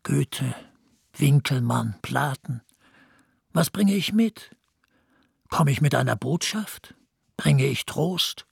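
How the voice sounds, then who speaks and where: An actor playing a weary, doubting old writer in a radio drama, speaking in inner monologue with a husky, creaky voice. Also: male voice, mid-range voice, lively intonation, slow, pauses often, dry recording, clean studio sound, full character voice. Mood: doubting, brooding.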